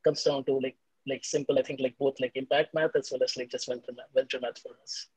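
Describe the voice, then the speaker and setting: A man's voice: mid-range voice, little pitch movement, a little mellow, breathy edge, no echo, low-quality recording. A man speaking as an investor on a panel, with many fillers. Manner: fast, filler-heavy. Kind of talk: panel discussion.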